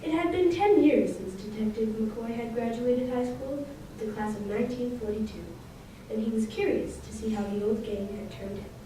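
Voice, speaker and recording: A woman's voice: on the high side, normal speed, close to an everyday voice. A female performer playing a solemn narrator of a detective mystery in a scripted old-time radio show. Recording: very echoey hall, distant mic, faint noise.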